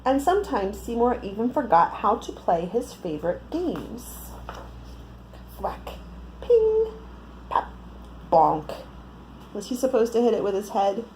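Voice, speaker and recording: Casting: female children's storyteller, picture-book read-aloud, highly melodic delivery, mellow tone, faint noise behind. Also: a little slow, pauses often, low-quality recording. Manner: expressive, asks the listeners questions.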